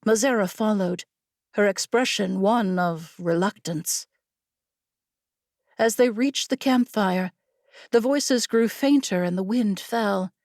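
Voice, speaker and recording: Slow, measured woman storyteller. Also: medium pitch, a little mellow, dry recording, studio quality. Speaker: audiobook narrator. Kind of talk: scripted fantasy story narration.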